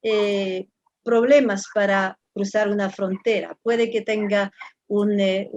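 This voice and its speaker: A woman's voice: mid-range voice, steady tone, slow, darkish tone, no room sound, dull audio. A woman from France in her fifties with a French accent, a professor talking as an interview guest. She speaks thoughtfully and halts often, repeating words while she searches for the next one. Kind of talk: reflective conversational interview.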